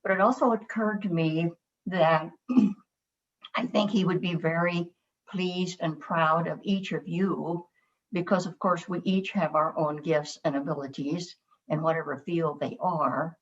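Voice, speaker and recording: An older woman with a low voice offers measured, heartfelt words at a memorial. The sound is dull and lo-fi, like audio from a video call. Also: fairly even intonation. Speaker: family member speaking at a memorial.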